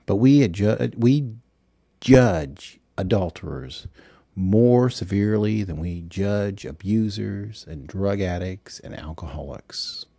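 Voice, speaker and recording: A man's voice: on the low side, animated, takes it slowly, vocal fry, dark tone, no room sound, full character voice. A man narrating an audiobook as a self-absorbed male government agent, giving a rambling, self-justifying confessional monologue. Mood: brooding, self-justifying.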